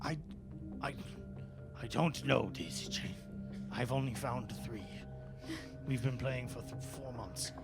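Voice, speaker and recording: A man's voice: mid-range voice, slow, creaky, pauses often, over music, pitched below the performer's own voice. A man voicing a decrepit, revived old politician in a tabletop game, fawning and blubbering, with a stammering, apologetic manner. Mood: dejected.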